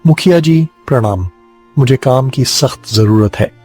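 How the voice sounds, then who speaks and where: A man voicing a kind, humble villager in a cartoon story, earnest and pleading, with a husky, creaky voice. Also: mid-range voice, unhurried, a little mellow, faint music behind, close to an everyday voice.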